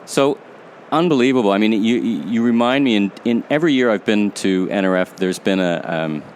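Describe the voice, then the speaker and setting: A man's voice: on the low side, normal speed, slight background noise. A man hosting a retail podcast, reading a scripted intro and then interviewing a guest, with some hesitant restarts in his questions. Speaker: podcast host. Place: open-roof podcast booth at a trade show.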